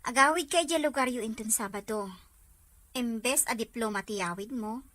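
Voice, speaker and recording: A woman acting a lively, sympathetic young female friend in a drama serial. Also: a little high, moderate pace, no echo, natural voice. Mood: curious, teasing.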